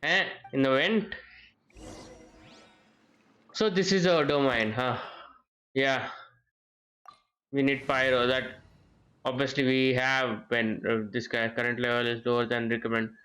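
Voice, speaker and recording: A man giving casual live gameplay commentary, halting and muttering to himself as he streams. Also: mid-range voice, steady tone, a bit bright. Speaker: game streamer. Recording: game music behind voice. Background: game music.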